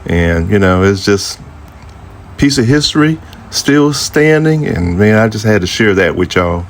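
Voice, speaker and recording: A man in his fifties with a low voice and a Southern American accent. He talks in a folksy, conversational way, as if chatting to his viewers while telling a personal story. He is outdoors, with noticeable ambient noise and cars behind him. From Jacksonville, United States. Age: 50s. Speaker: vlogger. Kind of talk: casual personal storytelling to camera. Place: outdoors by an old building near a highway.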